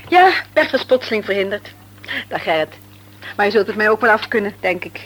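Forthright, doubtful married woman for radio drama played by an actress: medium pitch, earnest, faintly noisy sound. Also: expressive, a little fast, natural voice. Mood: candid, brisk. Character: Annie, childless married woman, doubtful but candid. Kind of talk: Dutch radio play acting. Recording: slightly noisy radio play recording.

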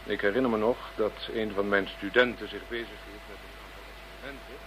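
Pompous low-voiced male academic, played by an actor. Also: fairly even intonation, fast, natural voice. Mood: condescending. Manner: pompous, lecturing, precise. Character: arrogant, pedantic male academic. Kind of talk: radio play acting. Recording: radio play with faint hum.

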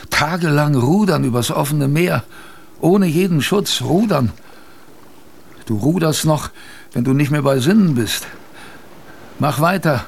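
A man's voice: a little high, creaky, breathy edge, full character voice. An actor playing a weary polar expedition sailor who narrates his ordeal dramatically in a radio drama. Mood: bitter, weary.

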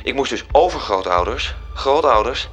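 An actor playing a dutiful bureaucratic angel reporting to a superior, formal and deferential, in a radio drama. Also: male voice, medium pitch, animated, moderate pace, fairly bright tone, lightly acted. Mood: weary, explanatory. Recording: music behind the voice. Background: music.

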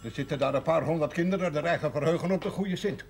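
A man's voice: a little high, quick pace, gravelly, a little hiss or hum. An actor playing a nervous, folksy, tippling villager who bumbles and repeats his words in a comic television period drama. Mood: stubborn, earnest. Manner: bumbling, stammering repetitions, folksy. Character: nervous, tippling villager dressing up as Saint Nicholas. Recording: old television soundtrack, voices behind.

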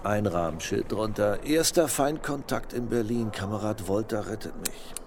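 An actor playing a cynical, seasoned vice cop in a radio drama, terse and wry. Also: male voice, fairly low pitch, normal speed, a little hiss or hum, natural voice. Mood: wry, confident.

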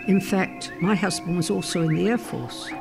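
Gravelly older woman reminiscing over music. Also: on the low side, normal speed. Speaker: air force veteran giving testimony. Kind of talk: interview reminiscence.

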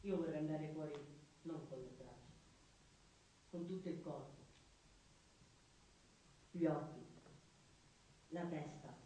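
A man's voice: sits high, warm tone. An actor playing a small, tender, earnest man who dreams of family and the outside world, in theatrical stage dialogue. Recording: echoey theatre stage, distant mic, faint noise.

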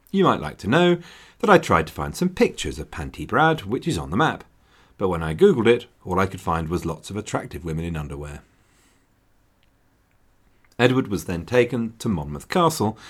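A man's voice: low voice, husky. A man hosting a history podcast, reading a scripted narration with wry, dry jokes along the way. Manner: wry, dryly joking asides. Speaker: podcast host.

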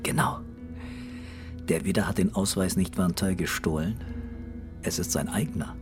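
An actor playing an unconventional police detective in a radio play, terse and understated but quietly in command. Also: male voice, low voice, fairly even intonation, moderate pace, natural voice. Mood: quiet, serious. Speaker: police commissioner in a crime drama. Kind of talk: naturalistic German radio play acting. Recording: music bed under studio dialogue.

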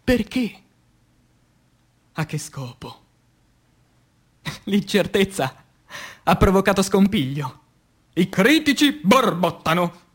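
Slow, high-voiced man declaiming poetry. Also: big pitch swings, breathy edge. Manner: declamatory, solemn, with drawn-out phrases and long pauses. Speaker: actor reciting poetry. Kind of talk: dramatic theatrical poetry recitation for radio theatre.

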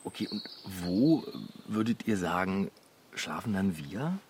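An actor playing a wry, sarcastic, unbothered husband in a naturalistic radio drama, speaking in a dry, ironic way. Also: male voice, low-pitched, expressive, unhurried, noisy background. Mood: wry, resigned.

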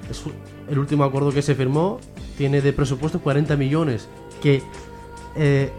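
A man's voice: medium pitch, fairly even intonation, moderate pace, mellow, faint music behind. A man from Western Sahara explaining politics as a radio interview guest, often posing questions and answering them himself. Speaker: guest, member of a Sahrawi association.

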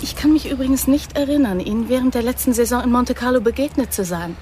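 A woman's voice: a little high, fast, warm tone, a little hiss or hum, lightly acted. An actress playing a guarded young woman in a radio drama, formal and coolly dismissive. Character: guarded, cool young English actress fending off a stranger.

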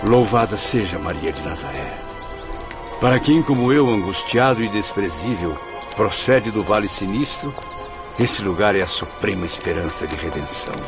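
A man's voice: low voice, expressive, normal speed, over music. An actor playing an anguished, weary man with wry humor in a Brazilian radio drama, speaking Portuguese dramatically with a Brazilian accent. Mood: grateful, moved. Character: Camilo, anguished, exhausted male spirit with wry humor. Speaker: protagonist and narrator.

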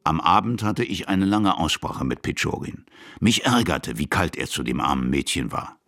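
Low-pitched old officer, animated intonation, gruff, plain-spoken, colloquial radio play storyteller. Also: male voice, moderate pace, full character voice. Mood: reflective narrating. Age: older. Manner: colloquial, vivid, dramatic storytelling.

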